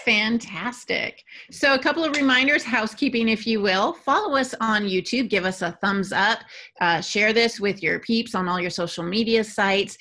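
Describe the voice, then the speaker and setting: A woman's voice: mid-range voice, normal speed, bright, forceful tone. A woman moderating a podcast, moving between a prepared introduction and wry, teasing banter with her guests.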